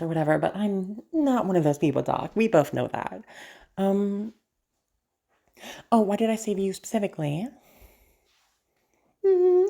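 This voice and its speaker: A crazed female patient played by a woman speaks in a lowish voice thick with fry. She is possessive and flirty, playfully menacing. Her audio-drama monologue comes out halting and teasing, sprinkled with swearing. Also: warm tone.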